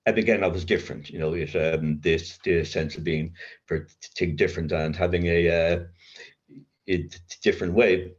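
An older man with a very low, deep voice speaks haltingly, catching on blocks and repeating words as his stutter surfaces. He is reflective, with a wry humour. The recording sounds slightly muffled and dull. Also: fairly even intonation. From Ireland. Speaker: recovery storyteller. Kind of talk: personal testimony.

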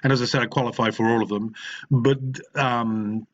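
Aussie bloke sharing at a meeting, slow halting restarts, kinda muffled lo-fi sound. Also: male voice, medium pitch, steady tone. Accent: Australian. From Australia. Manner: halting, with many restarts and pauses. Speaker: recovery meeting speaker. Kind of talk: personal share at a support meeting.

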